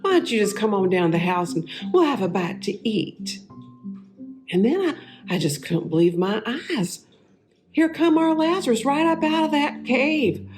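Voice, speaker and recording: A woman playing a warm, homespun biblical sister in a dramatic monologue, with a Southern US accent and a folksy, wistful manner, lingering over her words. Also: a little high, big pitch swings, moderate pace, faint music behind. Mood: amazed. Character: warm homespun Martha of Bethany, sister of Lazarus.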